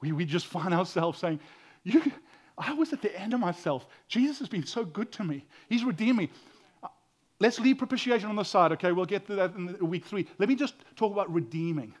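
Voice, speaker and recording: A man with a loud voice preaching a sermon as pastor, swinging between hushed whispers and loud exclaiming, often stammering and repeating words. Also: high voice, expressive, fairly quick.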